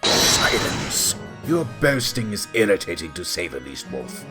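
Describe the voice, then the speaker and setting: A man's voice: deep voice, big pitch swings, moderate pace, over loud music. A man playing a stern, impatient judge who speaks with scolding authority in an audio drama. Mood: irritated, commanding. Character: stern, commanding male judge, short of patience. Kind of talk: amateur audio drama acting.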